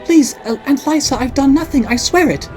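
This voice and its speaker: A woman's voice: sits high, a little fast, a little mellow, soft background music, pitched above the performer's own voice, natural voice. A woman voicing a frightened, meek young noble girl who pleads timidly. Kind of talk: audiobook character voice.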